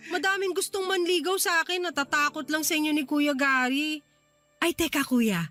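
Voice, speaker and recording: A young Filipina little sister in a radio drama, sweet and playful. Her voice is clearly high, with light music behind it. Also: normal speed, pushed and bright. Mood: playful, excited.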